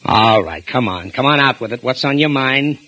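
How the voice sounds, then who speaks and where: An actor playing a gruff, suspicious policeman husband in a 1940s radio drama, brusque and sarcastic. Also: mid-range voice, animated, moderate pace, sharp tone.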